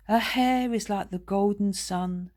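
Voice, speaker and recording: A woman's voice: mid-range voice, a little slow, a little mellow, voice pushed up, close to an everyday voice. Woman doing an earnest, overly proper schoolboy. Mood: dreamy, romantic. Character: earnest, lovestruck schoolboy playing the good pupil.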